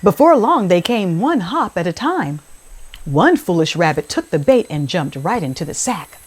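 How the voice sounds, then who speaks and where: A woman hosting a children's read-aloud, speaking in a deliberate, clearly phrased storytelling style. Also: fairly low pitch, very animated.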